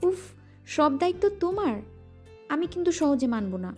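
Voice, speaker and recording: A woman's voice: high voice, very animated, moderate pace, soft background music. A woman voicing a playful young woman in love, teasing and coy, in a romantic audio drama. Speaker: voice actor.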